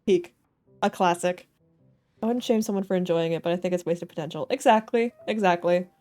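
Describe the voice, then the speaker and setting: A woman's voice: lowish voice, fairly quick, warm tone. A woman doing casual live video game commentary, thinking aloud in a playful way. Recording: faint game music behind the voice. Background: game soundtrack.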